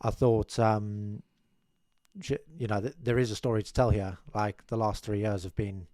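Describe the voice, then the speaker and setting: Modest South African man, low dry voice. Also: little pitch movement, moderate pace, dark tone, dry recording. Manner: modest, rambling with many restarts. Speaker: podcast guest. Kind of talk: casual interview chat. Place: small studio room with curtains. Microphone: desk mic on boom arm, headphones.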